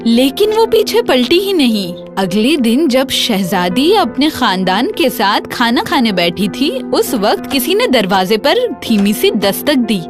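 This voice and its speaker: A woman narrating a scripted children's fairy tale in an expressive, dramatic storytelling manner. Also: fairly high pitch, a little slow, few pauses, over music.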